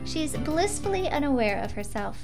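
A woman voicing a sardonic, exasperated teenage boy who narrates a visual novel in a deadpan way. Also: high voice, moderate pace, music in the background. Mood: deadpan, defeated. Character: dry, exasperated teenage boy, reluctant beach companion.

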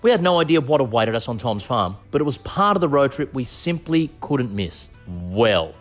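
Animated Australian man hosting a travel show. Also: medium pitch, normal speed, faint music behind. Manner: jokey, playful. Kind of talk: scripted voice-over narration and casual chat.

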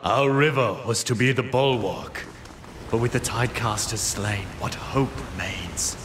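A man's voice: medium pitch, unhurried, a bit bright, close to an everyday voice. An actor playing a despairing, mournful man in a fantasy game, pleading as he speaks. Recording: noisy ambience over the voice.

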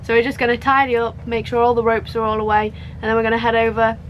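Brief: young woman vlogger, playful and chatty, slightly high voice, faint background hum. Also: little pitch movement, quick pace, muffled recording. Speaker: sailing vlogger. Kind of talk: casual vlog chat. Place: inside a small boat cabin.

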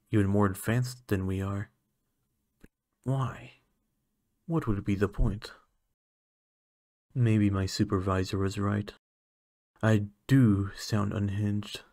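A lonely alien scientist, acted by a man in an ASMR roleplay, with a fairly low voice. He speaks slowly and haltingly, musing earnestly. The sound is dry and close, with no room echo. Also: darkish tone. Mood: doubtful, musing.

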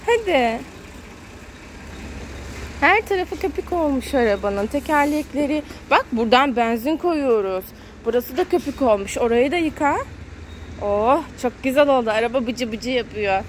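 Give the voice of a woman with a high pitch, talking casually to a small child as she films outdoors. She is encouraging and repeats words in a sing-song way. Faint car wash noise sits behind her, picked up by a handheld phone. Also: animated, moderate pace. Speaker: mother filming a family vlog. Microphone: handheld phone camera, speaker behind it.

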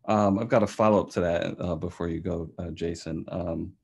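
Very deep, even-toned man moderating. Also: gravelly, breathy edge. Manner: halting, careful phrasing. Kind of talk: moderating a discussion.

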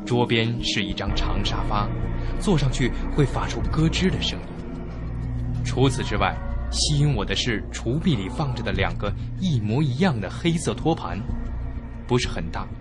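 Animated male horror storyteller acted by a man. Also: mid-range voice, normal speed, close to an everyday voice. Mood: descriptive. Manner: suspenseful, theatrical storytelling. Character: frightened young male traveller telling his story. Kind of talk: dramatic first-person horror narration, radio drama. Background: loud background music.

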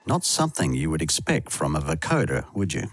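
Low-voiced man narrating a tutorial. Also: huge pitch swings, a little fast, breathy edge, no room sound, faint music behind. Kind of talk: scripted instructional narration. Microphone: close voice-over mic, dry studio sound.

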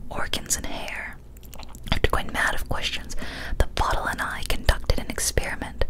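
A young woman whispers a horror story in an ASMR style. Her voice is breathy and unvoiced, kept soft and hushed right up at a studio microphone. She reads with careful, deliberate phrasing. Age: young adult. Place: small decorated indoor room. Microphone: large studio microphone, close up.

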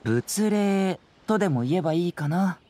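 Composed young detective. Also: male voice, sits high, lively intonation, mellow. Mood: calm, firm. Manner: measured, explanatory, self-assured. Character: youthful male detective, composed, analytical and confident. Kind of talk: anime game voice acting. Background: faint background music.

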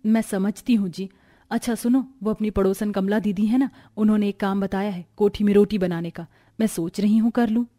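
A woman's voice: mid-range voice, steady tone, brisk, mellow, close to an everyday voice. Woman acting a weary, poor young sister-in-law. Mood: gently persuading. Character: poor, patient, self-sacrificing young married sister-in-law. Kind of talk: Hindi cartoon family drama.

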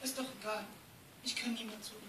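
A woman's voice: fairly high pitch, fairly even intonation, fast talker, noisy background, close to an everyday voice. A woman voicing a downcast, defiant young male lion cub in a live audio play. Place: stage in a dark auditorium. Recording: roomy, distant phone recording from audience.

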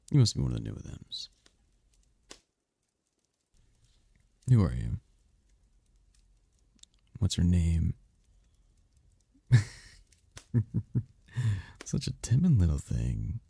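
Male actor as imperious demon prince, seductive ASMR roleplay, dark low voice, very husky, swooping theatrical delivery, possessive. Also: no room sound, exaggerated voice. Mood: curious, teasing. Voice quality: gravelly, husky, often breathy whisper. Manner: slow, purring, theatrical, commanding.

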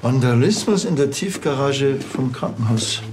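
An actor playing a dry, stubborn, laconic policeman with a deadpan, curt manner in a TV drama. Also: mid-range voice, moderate pace, background noise, natural voice.

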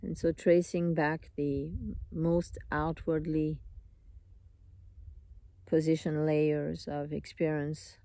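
A meditation teacher leading a live guided meditation in an unhurried, soothing manner, in short phrases with long pauses. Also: medium pitch, flat delivery, mellow, no echo.

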